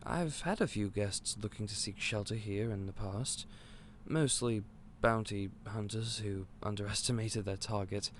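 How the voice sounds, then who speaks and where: A man playing an eccentric, otherworldly candy store owner in an audio roleplay, polite and gentle but a little scatterbrained. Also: on the low side, unhurried, a little hiss or hum. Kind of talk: scripted audio roleplay to the listener.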